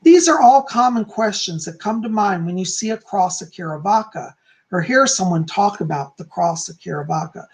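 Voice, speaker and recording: A calm, soft-spoken man who is hoarse and losing his voice, teaching on a livestream and reading aloud from a book. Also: sits high, normal speed. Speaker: psychic teaching about folk magic.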